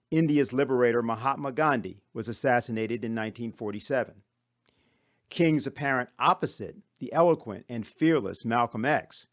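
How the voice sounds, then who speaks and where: A man with a medium-pitched voice delivers a scripted lecture. He sounds formal and serious, with measured phrasing. The sound is very dry and close, free of room echo. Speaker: conference speaker. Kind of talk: scripted lecture-style presentation.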